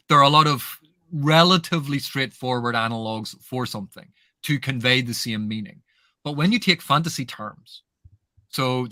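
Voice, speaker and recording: A man's voice: medium pitch, sharp tone, no echo, clean studio sound. A man guesting on a book chat, musing aloud and asking rhetorical questions.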